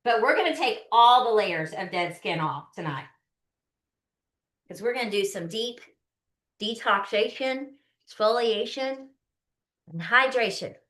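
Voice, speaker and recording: Woman, early fifties, slightly high voice, chatty, folksy, casual product demo. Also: lively intonation, measured pace, pauses often, fairly bright tone. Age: early 50s. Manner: chatty, folksy, often checking in with listeners. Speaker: skincare consultant hosting a live online demonstration.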